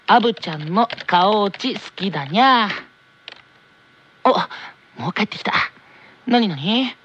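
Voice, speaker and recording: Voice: medium pitch, expressive, unhurried, a bit bright, husky, muffled recording. An actor voicing a cheerful, curious teenage boy in an anime radio drama, ending sentences with cutesy cat-like "nya". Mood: curious, playful.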